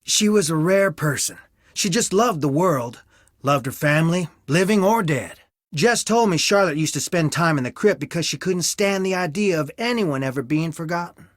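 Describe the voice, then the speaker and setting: An actor playing a weary, guarded young fiancé with a wry, tired manner in a scripted video game. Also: male voice, on the high side, animated, normal speed, no room sound, clean studio sound, natural voice. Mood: subdued, reflective. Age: young adult.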